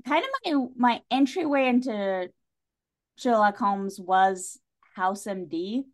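A woman's voice: medium pitch, takes it slowly. A woman talking casually as a podcast guest, enthusiastic and wry, with playful asides.

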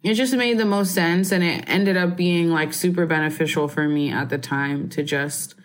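A woman's voice: on the low side, normal speed. A woman in her twenties from Barbados, chatting casually as an interview guest, rambling and filling her sentences with "like" and "um". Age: 20s. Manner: relaxed, rambling, heavy use of "like" and "um".

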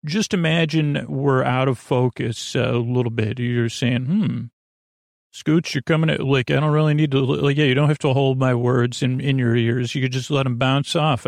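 A man hosting a bedtime sleep podcast in creaky, lulling tones, rambling haltingly and often interrupting himself with digressions. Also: medium pitch, no room sound, clean studio sound. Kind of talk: rambling, meandering bedtime monologue.

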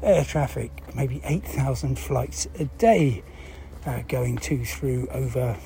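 A man with a mellow, relaxed voice talks listeners through a news story. His delivery is unscripted and halting, as he thinks aloud and asks his own questions before answering them. There is some noise behind him. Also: mid-range voice. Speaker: presenter explaining news. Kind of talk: explanatory talk to listeners, unscripted. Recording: clear background noise.

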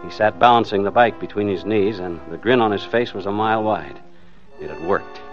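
A muffled vintage radio recording with faint music behind it. A male actor plays a low-pitched American husband held hostage, wry and terse, telling his hard-boiled story under strain. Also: a little fast, close to an everyday voice. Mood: tense, wry. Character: hard-boiled, anxious married man held hostage, narrating. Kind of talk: old-time radio first-person thriller narration.

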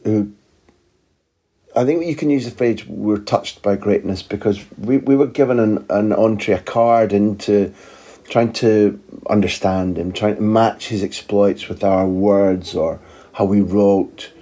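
A man's voice: fairly low pitch, fairly even intonation, unhurried, mellow. A man talking as a football journalist and co-host in a casual podcast chat, rambling and wry, with reflective asides.

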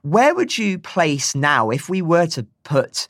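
A man's voice: a little high, very animated, slow, a bit bright, no room sound, studio quality. A man hosting an interview podcast, putting questions in a polite, articulate and fairly formal way.

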